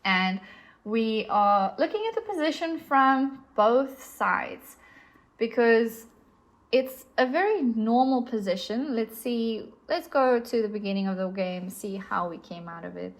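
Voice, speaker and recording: Slow-talking South African woman teaching. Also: a little high, lively intonation. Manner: patient, explanatory, with fillers. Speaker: chess instructor. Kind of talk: explaining chess moves on a board.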